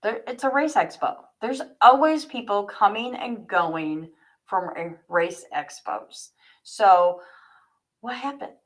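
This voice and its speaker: A woman hosts a livestream as an author. She takes her time as she reads her story aloud and talks to viewers, in a mid-range voice. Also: mid-range voice. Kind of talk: reading own story aloud and chatting to live viewers.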